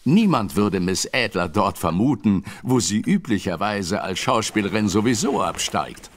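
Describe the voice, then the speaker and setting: An actor playing a scheming aristocratic villain, smooth and polite to guests but scornful and commanding behind their backs. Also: male voice, lowish voice, animated, normal speed, natural voice. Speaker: Sir John. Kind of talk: radio play acting.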